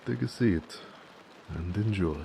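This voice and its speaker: A man voicing a gentle, affectionate orc blacksmith in an intimate romantic audio roleplay, tender and musing. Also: deep voice, creaky, slight background noise, heavily acted. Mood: cozy, inviting. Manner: unhurried, tender, murmuring, musing.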